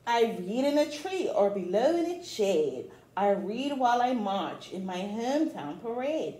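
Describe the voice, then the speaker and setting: High-pitched, lively woman reading to children. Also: a little slow. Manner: expressive, encouraging, addressing young children. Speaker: teacher reading children's books aloud. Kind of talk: storybook read-aloud with chatty asides to children. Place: small room at home, indoors. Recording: echoey small room.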